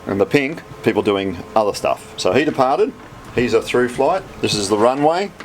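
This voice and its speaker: A man's voice: mid-range voice, animated, normal speed, low-quality recording. An Australian man in his sixties, an air traffic controller, explaining things casually in a laconic, matter-of-fact way.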